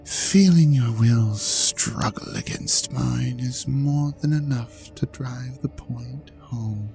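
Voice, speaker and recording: A man playing an ancient, vain dragon god in an audio drama, pompous and mock-courteous, with a theatrical drawl that sinks into breathy murmurs. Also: medium pitch, slow pace, darkish tone, soft background music. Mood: gloating. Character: ancient vain male dragon god, suave, hypnotic, menacing host. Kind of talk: scripted audio drama monologue addressed to the listener.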